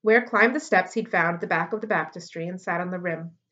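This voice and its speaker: A woman in her thirties reading a children's novel aloud at home into a webcam, in steady storybook narration. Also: fairly low pitch, brisk, highs cut off. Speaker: library read-aloud host. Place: living room at home. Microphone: webcam on a desk.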